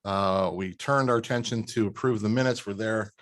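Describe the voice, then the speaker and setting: A man's voice: fairly low pitch, a bit bright. Man chairing a town meeting. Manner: brisk procedural, with fillers.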